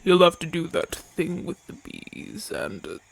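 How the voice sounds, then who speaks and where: Casting: male actor as haughty Roman gladiator, gruff and imperious, creaky voice, unhurried delivery, breathy murmurs, for ASMR roleplay. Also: a little high, wildly swooping pitch, a bit bright, full character voice. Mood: haughty, guarded. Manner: imperious, formal, dismissive. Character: proud, haughty Roman gladiator champion, gruff but softening. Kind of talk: ASMR audio roleplay acting.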